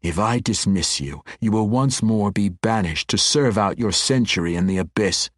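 A man voicing an old, menacing archmage who speaks deliberately and with command in a fantasy audiobook. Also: lowish voice, animated, no echo, clean studio sound. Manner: domineering, deliberate.

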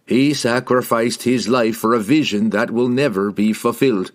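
A man's voice: mid-range voice, voice pushed up, close to an everyday voice. A man voicing a stern, stubborn young male barbarian warrior in an audiobook, speaking bluntly and evenly. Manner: measured, blunt, even. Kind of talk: audiobook character voice.

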